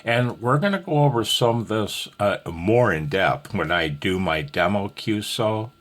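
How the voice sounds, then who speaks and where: Male instructor, low voice, unhurried delivery, folksy and self-deprecating, a touch nervous, informal rambling lesson. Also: expressive, fairly bright tone. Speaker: amateur radio instructor. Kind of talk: casual, rambling tutorial talk.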